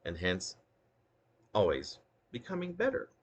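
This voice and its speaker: A man with a gravelly, husky voice reading his own poem aloud in earnest, deliberate phrases, with casual asides. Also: fairly low pitch, wildly swooping pitch. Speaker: poet reading own work.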